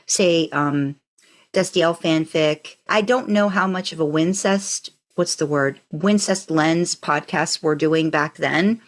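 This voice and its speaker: Woman podcaster w a slightly low voice, rambly and into it, just chatting away telling stories. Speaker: podcast co-host. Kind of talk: casual conversational storytelling.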